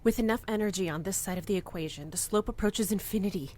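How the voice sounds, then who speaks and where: A skeptical young research assistant, brisk and doubtful in tone, with a mid-range voice, as a woman plays her. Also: medium pitch, darkish tone, close to an everyday voice.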